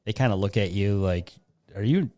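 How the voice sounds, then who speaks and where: A man with a Midwestern American accent and a fairly low voice hosts a casual talk show. He wanders through listener questions, breaking off his own sentences and dropping in joking asides. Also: moderate pace, warm tone. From Lansing, Michigan. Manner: rambling, jokey asides, interrupts himself. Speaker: podcast host.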